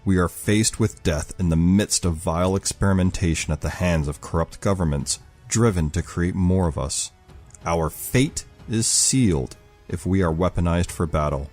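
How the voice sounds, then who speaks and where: Man as solemn male commander, deep voice, oratorical, resolute temper, audiobook story, quiet music behind. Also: moderate pace. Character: grave, commanding male rebel leader with powers.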